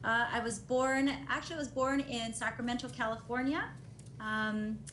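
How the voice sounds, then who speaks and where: A woman's voice: fairly high pitch, fairly even intonation, moderate pace, fairly bright tone. A woman in her forties from Honolulu giving formal testimony as a neuropsychologist, speaking hesitantly and matter-of-factly. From Honolulu, Hawaii. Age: 40s. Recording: echoey room, faint noise, video call.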